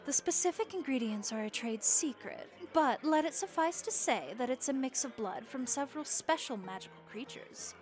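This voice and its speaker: High-voiced friendly vampire innkeeper played by a woman. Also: animated, normal speed, few pauses, faint music behind. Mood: hospitable, playful. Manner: chatty, encouraging, folksy turns of phrase. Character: friendly, worldly female vampire innkeeper, reassuring and wry. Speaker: voice actor in an audio roleplay. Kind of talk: intimate audio roleplay acting, comforting.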